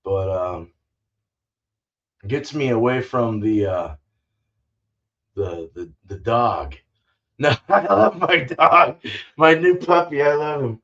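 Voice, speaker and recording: A man's voice: mid-range voice, animated, slow pace, long pauses, pushed and bright, highs cut off. An older man chatting casually and laid-back on a livestream, rambling and swearing freely, saying dude and man often. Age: older, fifties or sixties. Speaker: livestream host, homebrewer. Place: small indoor home brewing room.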